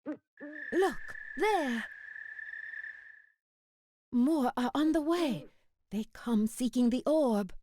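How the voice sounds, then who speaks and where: A cryptic geisha in a game cutscene. Her mellow voice rises and falls expressively at an unhurried pace. She sounds composed and knowing. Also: on the high side, no echo, full character voice. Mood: reverent. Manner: measured, knowing. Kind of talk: video game cutscene acting.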